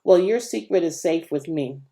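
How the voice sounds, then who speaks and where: A woman's voice: low-pitched, lively intonation, moderate pace, dark tone. A woman voicing an anxious, earnest twelve-year-old boy in a children's storybook reading.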